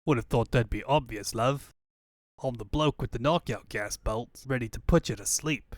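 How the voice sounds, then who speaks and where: A man's voice: medium pitch, moderate pace, no room sound, clean studio sound, natural voice. A man voicing a cocky, flirty secret agent and rebel leader, with a put-on Australian accent and suave, slangy speech. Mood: cocky, threatening. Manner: suave, slangy, formal flourishes.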